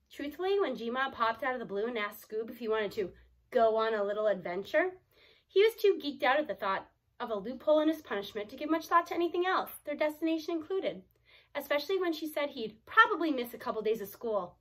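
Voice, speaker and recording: Woman doing a read aloud, kinda high voice, animated, stops for little asides. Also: low-quality recording.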